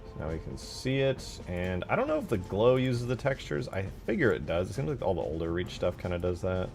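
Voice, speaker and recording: A man's voice: low voice, big pitch swings, fairly quick, vocal fry, a little mellow, soft background music. A man chatting casually and in slangy, sweary terms on a livestream while he works on game mods. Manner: laid-back, slangy, profane. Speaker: streamer building video game mods.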